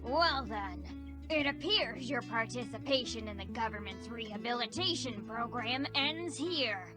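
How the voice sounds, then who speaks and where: A woman's voice: a little high, expressive, unhurried. A woman voicing a stern, tough female parole officer in a video game, formal and authoritative. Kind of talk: English video game voice acting. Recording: loud background music.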